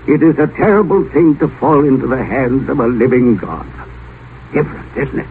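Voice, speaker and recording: A man's voice: mid-range voice, normal speed, close to an everyday voice. An actor playing a rich, volatile old man afraid of death in a vintage radio drama, blustering and folksy. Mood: folksy, restless. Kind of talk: 1950 radio drama acting. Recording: noisy, tinny old radio broadcast.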